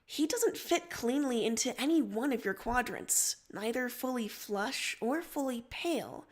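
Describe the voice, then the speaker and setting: A woman's voice: fairly high pitch, animated, measured pace. A woman hosting a livestream, reading stories aloud and chatting casually. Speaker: livestream host and story reader. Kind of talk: story narration and casual livestream chat.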